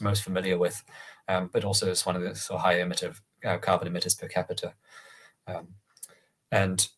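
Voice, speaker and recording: Man with a cold, deep and husky, monotone, halting presenter on a video call. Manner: hesitant, many ums and restarts. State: has a cold, croaky. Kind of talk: online presentation.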